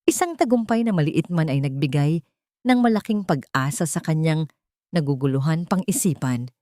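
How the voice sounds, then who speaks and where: A woman's voice: low-pitched, expressive, moderate pace, dark tone, dry recording, studio quality. A woman narrating a dramatic scripted story in a solemn, melodramatic manner.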